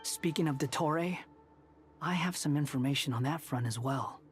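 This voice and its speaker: A man voicing a shrewd, self-assured young strategist in a video game, explaining things in a measured way. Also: a little high, soft background music, lightly acted.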